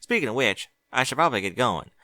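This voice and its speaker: A man's voice: medium pitch, sing-song, fast, sharp tone, no echo. A man voicing an earnest young farm pony mare in a fan fiction audiobook, talking in a plain, folksy way. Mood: friendly.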